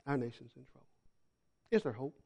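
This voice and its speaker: A man preaching a sermon as a missionary, telling folksy travel stories, repeating phrases for emphasis and swinging from loud to hushed. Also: mid-range voice, lively intonation, fairly quick, gravelly, breathy edge. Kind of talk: sermon with storytelling.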